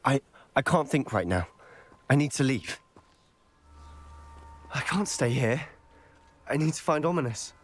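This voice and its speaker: A man playing a desperate, defiant teenage wizard boy in a video game, intense and halting delivery. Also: mid-range voice, lively intonation, slight background noise, close to an everyday voice. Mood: shaken. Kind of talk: dramatic video game acting.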